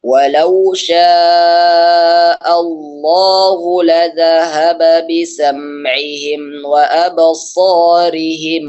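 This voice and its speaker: A man reciting scripture in a slow, steady chant as a student in an online class. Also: fairly high pitch, clear, resonant tone, muffled recording. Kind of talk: melodic Quran recitation.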